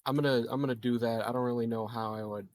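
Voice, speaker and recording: A man's voice: mid-range voice, steady tone, fast talker, a little mellow. A man chatting casually as a player in a tabletop roleplaying game, with a wry, informal, tentative manner.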